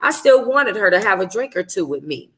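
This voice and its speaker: A woman book club host with a bright, forward voice at a middle pitch. She speaks informally and expressively, though she sounds tired and a little hoarse. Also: sing-song, brisk. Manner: informal, conversational. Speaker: book club host reading a novel aloud. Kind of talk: expressive audiobook-style reading and casual livestream chat.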